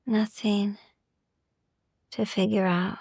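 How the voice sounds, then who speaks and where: A woman guiding a sleep meditation in a soft, gentle, soothing ASMR style, hushed and often slipping into whispers, with long pauses. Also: mid-range voice, flat delivery, gravelly, breathy edge, no room sound, clean studio sound. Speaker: sleep meditation podcast host.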